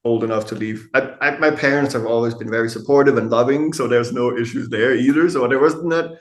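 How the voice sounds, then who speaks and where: A middle-aged man with a Danish accent talks in an easygoing, candid way, swearing now and then and laughing often. His voice sits at an ordinary middle pitch. The room around him gives it a noticeable echo. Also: fairly quick. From Denmark. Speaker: guest, tattoo artist and photographer. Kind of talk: casual interview conversation. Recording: echoey room.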